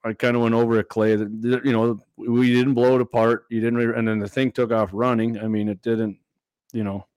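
A hoarse man, hosting a casual podcast and talking haltingly, repeating words as he goes. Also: mid-range voice, level tone, darkish tone, no room sound. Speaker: podcast host.

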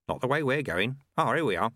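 A man's voice: medium pitch, big pitch swings, fairly quick, pushed and bright, no echo, studio quality. A man voicing a wily, irreverent old monk mentor in an audiobook, chatty and colloquial. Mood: dry.

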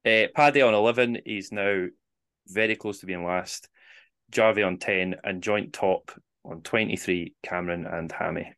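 A Scottish man with a fairly low voice hosts a podcast quiz. He reads out clues and scores in an informal way. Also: normal speed, fairly bright tone, clear, resonant tone. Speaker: quiz host on a football podcast.

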